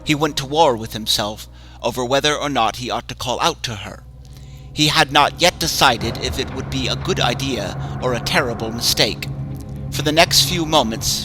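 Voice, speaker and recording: Slow, ominous male horror narrator. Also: medium pitch, poor audio. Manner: slow, measured, ominous delivery. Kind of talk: dramatic horror story reading. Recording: music bed under voice.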